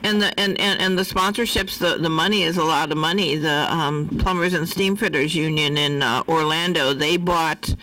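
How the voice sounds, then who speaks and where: A woman's voice: deep voice, steady tone, normal speed, few pauses, pushed and bright, distant mic, low-quality recording. An American woman chatting casually on the radio as a co-host. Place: radio studio. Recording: faint background noise.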